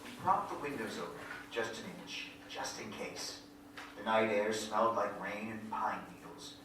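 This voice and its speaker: A man's voice: lowish voice, lively intonation, moderate pace, close to an everyday voice. An actor playing an earnest country boy who narrates his memories in a lyrical, reflective, folksy way at a staged reading. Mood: wistful. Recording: echoey hall, distant mic, faint noise.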